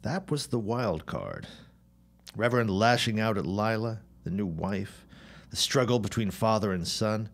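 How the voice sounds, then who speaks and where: An earnest, indignant neighbour acted by a man in an early twentieth-century radio play. His voice is deep and his phrasing formal and deliberate. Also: close to an everyday voice. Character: earnest, indignant neighbour plotting against a villain. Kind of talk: period audio drama acting.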